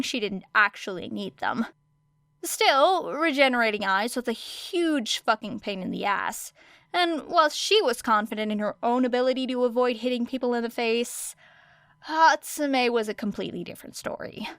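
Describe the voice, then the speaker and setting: A woman's voice: a little high, a bit bright, clear, resonant tone, dry recording. A woman reading scripted fiction as an audiobook narrator.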